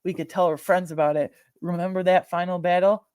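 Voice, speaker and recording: A man hosting a film ranking video, talking informally and emphatically with slang and a halting, opinionated delivery. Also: high-pitched, steady tone, a little mellow, clear, resonant tone, no echo. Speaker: video host ranking films.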